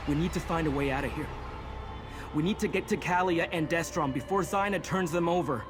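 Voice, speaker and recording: An actor playing an earnest, resolute young mage in a video game, explaining things intently. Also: male voice, on the high side, lightly acted. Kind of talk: video game character dialogue.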